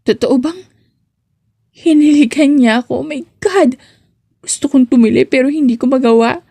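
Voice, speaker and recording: A woman acts out a giddy young office worker in a romance audiobook. Her voice sits high and flustered, tripping into stammers and exclamations as she swoons over her boss. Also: normal speed, creaky, warm tone, husky, dry recording, full character voice. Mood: giddy, amazed. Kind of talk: romance audiobook character acting.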